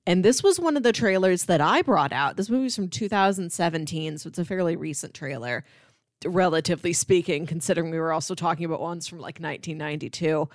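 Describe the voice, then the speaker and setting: A woman's voice: fairly low pitch, fast, no echo, studio quality. A woman co-hosting a podcast, explaining things about films in a casual, conversational way. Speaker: podcast co-host.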